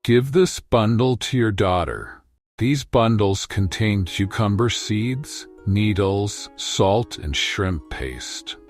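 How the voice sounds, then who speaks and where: Man acting a slow, low-voiced mountain hermit. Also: animated, soft background music. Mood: solemn, instructing. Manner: slow, deliberate, instructing. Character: male mountain hermit giving solemn instructions. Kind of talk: children's folktale storybook acting.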